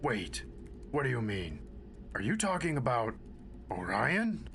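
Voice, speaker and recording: A man's voice: medium pitch, sing-song, unhurried, fairly bright tone. Man playing an earnest soldier, faint noise behind. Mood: questioning. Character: earnest, tactically minded male soldier, respectful and cautious. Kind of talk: scripted audio drama acting.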